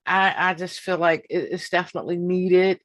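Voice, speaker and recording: A woman in her fifties hosts a casual interview. Her voice sits a little low, and she speaks slowly and emphatically, often repeating a phrase to drive it home. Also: flat delivery. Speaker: podcast host.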